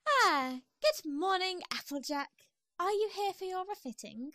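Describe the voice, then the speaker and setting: A woman's voice: extremely high pitch, animated, a little slow, bright, forceful tone, no echo, clean studio sound, exaggerated voice. A woman playing a refined, fussy fashionista pony in an amateur audio drama, with an affected, genteel and dramatic manner. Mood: airy, pleased.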